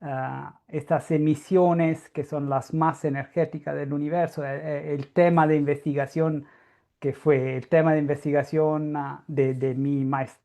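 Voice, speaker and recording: A man with an Italian accent lectures at a science conference, unhurried and halting as he searches for words. He restarts phrases often while he explains things patiently. Italian is his first language. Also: fairly high pitch, level tone, vocal fry, mellow. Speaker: guest lecturer, astrophysicist. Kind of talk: academic conference talk.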